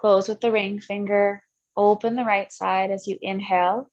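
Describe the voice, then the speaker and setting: A woman leads a guided breathing meditation as a yoga instructor. Her mid-pitched voice stays very even, with little rise or fall. She gives gentle cues in short phrases, one step at a time, on a slightly dull, lo-fi recording. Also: moderate pace.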